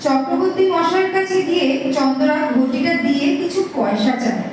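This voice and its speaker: High-pitched woman narrator, heavy echo. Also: expressive, brisk, background noise. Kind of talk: scripted story narration. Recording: echoey, distant, music behind.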